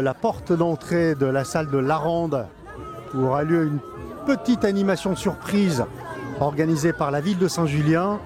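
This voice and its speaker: A man giving halting live event commentary as an on-the-spot reporter, often pausing and repeating himself. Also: fairly high pitch. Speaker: event reporter and club president doing live coverage. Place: outdoors in a town street among a crowd. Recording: outdoor live recording amid crowd noise. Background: crowd and street sounds.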